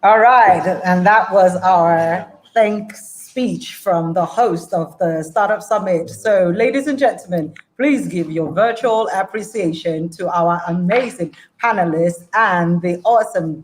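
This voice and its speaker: A woman's voice: on the low side, a little slow, few pauses, low-quality recording. A woman with a Nigerian accent hosting an online event in a formal, effusive manner. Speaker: event host. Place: online video call.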